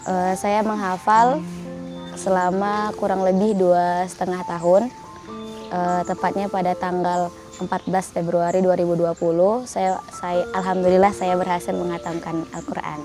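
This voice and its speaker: Low, flat young woman, hesitant. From North Sumatra, Indonesia. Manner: hesitant, slow, self-correcting. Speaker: graduating Quran memorization student. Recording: background music under speech.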